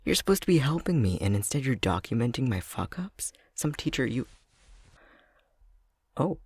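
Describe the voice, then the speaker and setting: A young man playing a clumsy, self-conscious fox-shifter boyfriend in an intimate ASMR roleplay, speaking hesitantly with a soft, breathy, gravelly voice. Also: medium pitch, sing-song, normal speed, no echo, studio quality. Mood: frustrated, indignant, then flustered, embarrassed. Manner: hesitant, stammering, self-deprecating.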